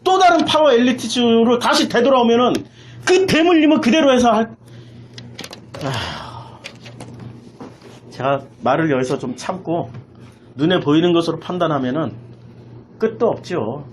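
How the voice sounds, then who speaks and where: Animated, emphatic preacher delivering a sermon. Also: medium pitch, normal speed, long pauses, a little hiss or hum. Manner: emphatic, repeats phrases, raises voice. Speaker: pastor preaching a Sunday sermon. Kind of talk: impassioned sermon with rhetorical questions.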